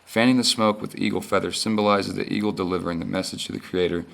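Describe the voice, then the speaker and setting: Man, student reader, slow solemn reading, very deep voice, gravelly. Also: fairly even intonation, fairly bright tone. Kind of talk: reading a creation story aloud.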